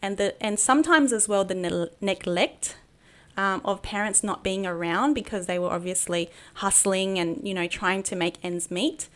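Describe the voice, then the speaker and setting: A middle-aged woman, a podcast guest and business coach, recounting her past in a halting way with many fillers and restarts. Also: medium pitch, fairly bright tone. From Australia. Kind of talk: personal interview storytelling.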